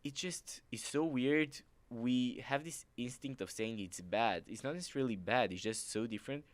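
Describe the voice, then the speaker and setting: A young man from Romania, a native Romanian speaker, chatting casually as podcast host, animated and full of fillers. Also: medium pitch, no room sound. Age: teens. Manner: animated, filler-heavy, quick laughs. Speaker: podcast host, exchange student. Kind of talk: casual chat.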